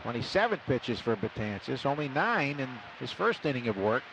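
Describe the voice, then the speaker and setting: A man's voice: medium pitch, big pitch swings, normal speed. A man calling baseball play-by-play on live radio in clipped phrases that swell on big plays. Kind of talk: live sports commentary. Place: broadcast booth at an outdoor ballpark. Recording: noisy live stadium radio broadcast. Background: loud ballpark ambience.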